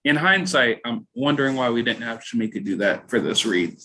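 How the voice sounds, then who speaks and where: A man's voice: mid-range voice, expressive, fairly quick, bright, forceful tone. A man, a voice actor, chatting casually. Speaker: voice actor at a table read. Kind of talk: casual chat.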